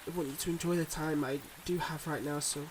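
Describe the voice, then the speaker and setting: A man playing a devoted but guarded mob boss boyfriend in an intimate ASMR roleplay, speaking in earnest, measured confessions. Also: fairly high pitch, fairly even intonation, a little hiss or hum, lightly acted. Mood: bittersweet, devoted.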